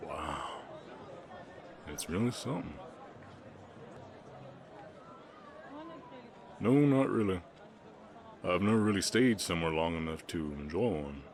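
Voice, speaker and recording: A man with an American Southern accent voicing a gentle, shy, gruff orc ranch hand in a romantic western audio roleplay, speaking haltingly and protectively. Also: sits low, expressive, a faint crowd. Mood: shy, fond. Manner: bashful, halting, softly protective.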